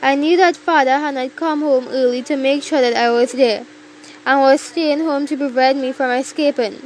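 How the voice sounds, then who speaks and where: A woman's voice: high-pitched, a little hiss or hum, rough recording. A woman narrating a scripted story in the first person.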